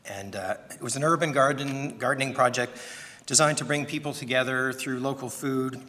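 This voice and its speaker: A soft-spoken man giving a prepared introduction at a podium microphone, now and then clearing his throat. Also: mid-range voice, steady tone, moderate pace, in a large hall. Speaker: event speaker introducing a presenter. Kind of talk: prepared speech. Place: large conference hall.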